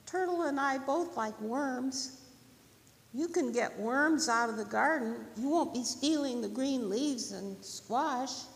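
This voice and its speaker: A woman's voice: high voice, takes it slowly, creaky, voice pushed up, full character voice. A woman voicing a small, friendly, earnest storybook bird in a singsong, chirping manner. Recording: long hall reverb, faint noise.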